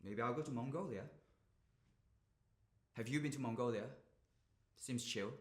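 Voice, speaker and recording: A man's voice: fairly high pitch, very animated, moderate pace, pushed and bright. Echoey, volatile young man acted in monologue. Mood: quiet, resigned. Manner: confessional, sweary, emotionally volatile. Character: stressed, drained young man confiding bitterly, burdened by family. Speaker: drama student actor. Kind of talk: dramatic stage monologue. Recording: echoey room.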